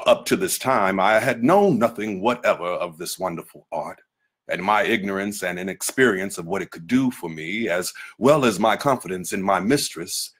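Male reader, lowish register, for dramatic memoir excerpts; measured, oratorical delivery. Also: slightly rough. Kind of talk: dramatic literary reading of a 19th-century memoir.

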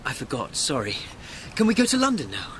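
An actor playing a naive, trusting, simple young man in a radio drama, excitable and childlike in manner. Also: medium pitch, fairly quick, slight background noise, rough recording. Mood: sheepish, eager.

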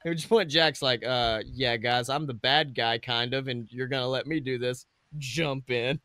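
A man's voice: medium pitch, steady tone, moderate pace, bright, forceful tone, no room sound, clean studio sound. A man co-hosting a podcast in casual comedic chat, joking with lots of slang and swearing. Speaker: podcast co-host.

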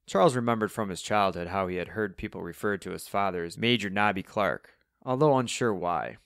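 Low-voiced male audiobook narrator. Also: animated, a little fast, vocal fry, fairly bright tone, no room sound. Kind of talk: scripted fiction narration.

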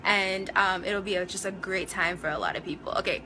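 A woman, a guest performer on a live TV interview, clearly giving event details. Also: mid-range voice, steady tone, a little fast, pushed and bright. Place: TV studio. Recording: noisy live studio audio.

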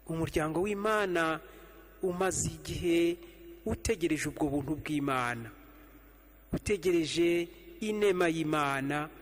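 Rwandan priest preaching in a reverberant church. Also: male voice, a little high, slight background noise. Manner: measured preaching with slow repeated refrains. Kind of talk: homily at evening Mass. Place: church sanctuary, standing behind an altar. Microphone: stand mic on the altar, fixed camera.

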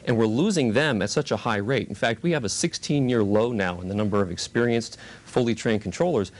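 A man's voice: fairly low pitch, a little fast. A man speaking as a spokesperson, giving explanatory answers in an interview. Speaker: union spokesperson.

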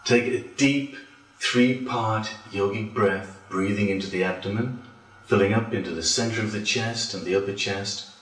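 A man leading a guided relaxation as a yoga instructor, speaking deliberately with pauses between phrases. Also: fairly low pitch. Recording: roomy echo, distant from mic.

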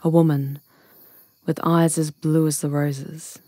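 A woman voicing an ancient, wry and wise witch in a fantasy ASMR roleplay, with measured, intimate, theatrical delivery. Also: deep voice, little pitch movement, mellow, dry recording. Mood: hushed, mysterious.

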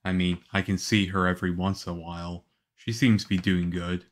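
A man playing a calm, reassuring young government agent in an ASMR roleplay, speaking softly. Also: low voice, natural voice. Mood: reflective. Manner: soft-spoken, unhurried, reassuring.

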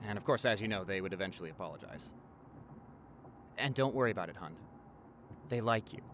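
Over faint car noise, a man acts out a loving doctor boyfriend in his forties. He tells his stories in a casual, halting, intimate way, as audio roleplay for a partner. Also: fairly low pitch, expressive, a bit bright. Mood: earnest, affectionate. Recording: car ride ambience behind the voice.

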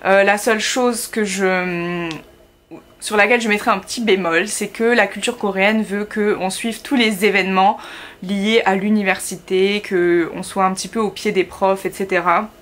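A woman's voice: medium pitch, fairly even intonation, normal speed, fairly bright tone. A young French woman in her twenties, chatting casually to camera from her bedroom, with lots of fillers and wry asides. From France. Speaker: vlogger, master's student. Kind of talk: casual vlog chat. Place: small bedroom indoors.

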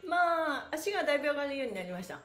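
A middle-aged woman with a high voice that swoops up and down as she leads a livestream class. She is chirpy and encouraging, like an instructor cheering everyone on. The small bare room rings clearly around her. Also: rapid-fire, echoey room. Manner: animated, chirpy, exclaiming and encouraging, often laughing. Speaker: pantomime and stretching instructor, livestream host. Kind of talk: upbeat livestream exercise class and chat. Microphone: device mic a step or two away.

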